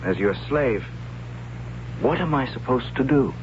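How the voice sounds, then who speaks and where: A man's voice: mid-range voice, animated, a little slow, muffled recording, very noisy, natural voice. An actor playing a simple, cheerful but inept young man, hesitant and artless, in a 1970s radio drama. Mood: meek. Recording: band-limited old radio broadcast, loud music bed.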